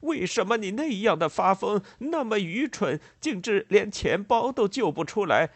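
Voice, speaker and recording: A man's voice: high voice, very animated, moderate pace, breathy edge, dry recording, voice pushed up, exaggerated voice. A man voicing a frantic, greedy, angry farmer in a storybook, stammering and blustering as he repeats his words. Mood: furious, accusing.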